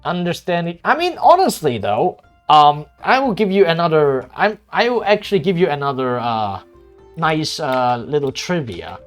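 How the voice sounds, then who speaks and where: Rambling, swearing man with lively pitch. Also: soft background music. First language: Cantonese. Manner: rambling, halting, many restarts and swearing. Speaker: streamer. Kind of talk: casual chat while streaming a strategy game.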